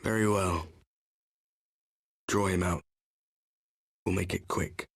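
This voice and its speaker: An actor playing a curt, cool and wary conspirator in a game cutscene, with a gravelly voice. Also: male voice, low-pitched, steady tone, takes it slowly, a bit bright, dry recording, clean studio sound, heavily acted. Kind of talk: video game cutscene acting.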